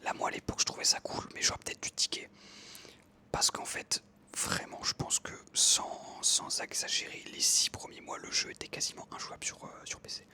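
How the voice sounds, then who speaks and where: Whispered ASMR narrator, very bright and sibilant, close-miked casual game commentary. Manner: whispering throughout, hesitant pauses with frequent self-corrections. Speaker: ASMR video creator.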